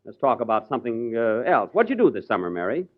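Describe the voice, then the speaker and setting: A man's voice: medium pitch, sing-song, fast. Wry man hosting old radio comedy. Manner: wry, indignant comic timing. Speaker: comedian host. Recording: dull old radio broadcast recording. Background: studio audience laughter.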